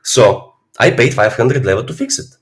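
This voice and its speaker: Hoarse man. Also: medium pitch, lively intonation, moderate pace. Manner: blunt, philosophizing, swears freely. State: hoarse from a cold. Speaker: fitness streamer. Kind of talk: casual livestream monologue.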